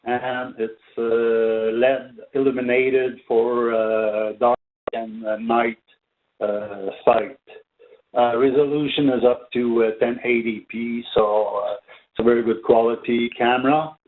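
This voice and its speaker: Male product trainer, mid-pitched, hesitant webinar delivery full of ums, thin telephone-band sound. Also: flat delivery. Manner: halting, hesitant delivery with very frequent filled pauses. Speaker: product trainer presenting for a company. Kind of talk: unscripted webinar product presentation. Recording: narrow, tinny phone-like line.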